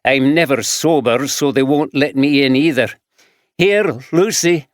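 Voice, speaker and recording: Picture a man putting on a rough, gruff homeless drifter with a Glaswegian accent, worn down and rambling, loner to the bone. The voice sits in a middling register and comes across close and dry, with no room around it. It belongs to a gritty audiobook tale. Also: medium pitch, a little slow, no room sound, lightly acted. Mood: weary.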